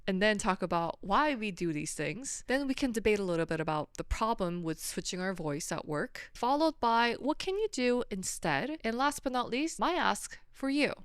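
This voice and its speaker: Woman in her thirties, native Korean speaker, smooth clean voice, dry close sound, friendly vlog delivery, careful enunciation. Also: medium pitch, no echo. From South Korea. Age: 30s. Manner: clearly enunciated, articulate, friendly. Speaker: management consultant and video host. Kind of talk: casual explanatory vlog to camera.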